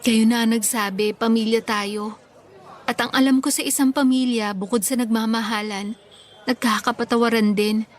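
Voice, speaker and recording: A woman playing a calm forgiving daughter. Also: a little high, normal speed, natural voice. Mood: gentle. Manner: calm, gentle, reassuring. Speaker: eldest daughter. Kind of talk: Tagalog radio drama acting. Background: soft background music.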